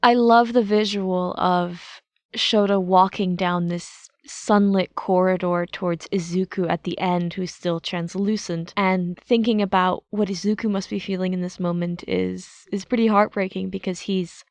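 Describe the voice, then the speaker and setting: A woman's voice: on the low side, fairly even intonation, unhurried, no echo. A woman narrating fiction and sharing her reflective thoughts on it as a podfic reader.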